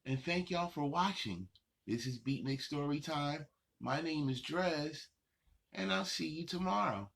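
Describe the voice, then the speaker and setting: Gravelly, husky man hosting for kids. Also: fairly high pitch, animated, measured pace, a bit bright. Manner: encouraging, reassuring, simple repetitive phrasing. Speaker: host of a children's story time show. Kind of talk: addressing young children directly.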